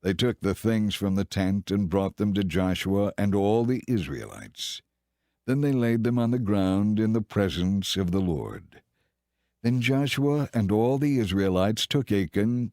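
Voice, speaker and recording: Casting: male scripture narrator, deepish voice, solemn measured storytelling, very dry studio-like sound. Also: mellow, no room sound.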